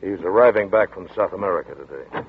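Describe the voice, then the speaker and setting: Blunt, weary detective on an old 1940s radio play, very deep and husky, tinny sound. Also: male voice, fast. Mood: gruff. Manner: blunt, gruff, matter-of-fact. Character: tough, weary detective friend.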